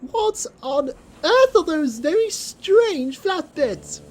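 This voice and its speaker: A woman's voice: very high-pitched, sing-song, takes it slowly, voice pushed up, full character voice. A woman voicing a vain, haughty male steam engine in a children's audio drama, complaining and exclaiming. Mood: baffled.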